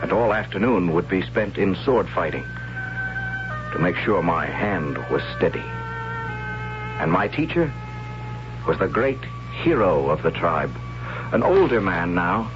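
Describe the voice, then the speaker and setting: A young tribesman who questions killing, in a low, very expressive voice. He is earnest and halting, in 1970s radio drama style. The recording is dull and lo-fi with music behind it. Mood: reflective. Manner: earnest, hesitant, pleading. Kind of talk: 1970s radio drama acting, narrating and in character.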